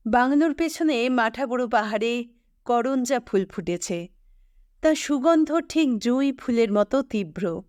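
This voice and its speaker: Female audiobook narrator, higher register, unhurried delivery, dry studio-like sound. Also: expressive, darkish tone, dry recording. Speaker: storyteller reading fiction aloud. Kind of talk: scripted audiobook narration of a literary story.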